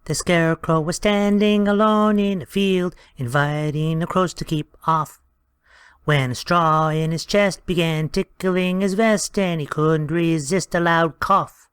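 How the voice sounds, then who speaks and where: A woman voicing a small, loyal, cheeky male servant with a lowered, gruff, firm delivery. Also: fairly even intonation, unhurried, dry recording, clean studio sound, close to an everyday voice. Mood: reciting verse. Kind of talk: storybook reading.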